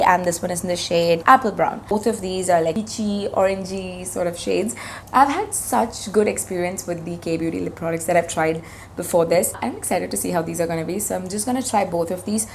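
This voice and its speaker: A woman in her thirties with a hoarse voice, chatting enthusiastically as she reviews makeup products on her channel. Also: fairly low pitch, slight background noise. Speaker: beauty vlogger.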